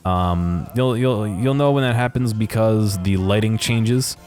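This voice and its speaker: A man giving casual, unscripted commentary over game footage in a hesitant, halting way. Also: lowish voice, a little mellow, soft background music. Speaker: video creator narrating game footage.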